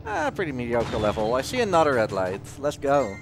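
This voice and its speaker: A man giving casual live commentary on his own speedrun, explaining in a halting way and often interrupting himself. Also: mid-range voice, wildly swooping pitch. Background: video game music.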